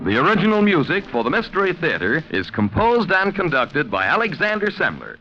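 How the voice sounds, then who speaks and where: Muffled 1940s radio sound with faint music carries an American male announcer. His voice is bright and very animated as he reads scripted credits. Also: a little high, brisk.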